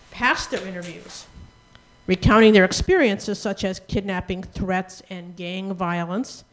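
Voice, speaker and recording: Young woman giving a measured prepared testimonial. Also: mid-range voice, darkish tone, low-quality recording. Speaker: former intern giving a testimonial speech. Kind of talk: prepared personal testimonial speech.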